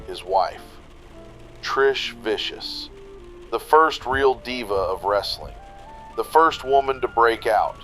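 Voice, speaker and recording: An actor playing a blunt, foul-mouthed wrestling-history host who delivers a scornful monologue in punchy phrases. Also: male voice, on the low side, fairly bright tone. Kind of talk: opinionated radio monologue within a drama.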